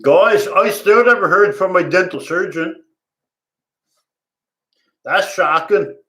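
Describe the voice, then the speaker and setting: A man, rambling loudly and cursing in a casual vlog monologue, repeating himself. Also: high voice, slow pace. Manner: loud, profane, laughs often, repeats himself. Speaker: vlogger. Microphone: tablet recording.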